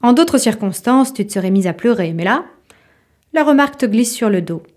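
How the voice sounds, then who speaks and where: A woman narrating a literary audio drama in an intimate, measured way, with a soft voice. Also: on the high side, animated, natural voice. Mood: restrained. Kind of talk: literary second-person narration in audio fiction.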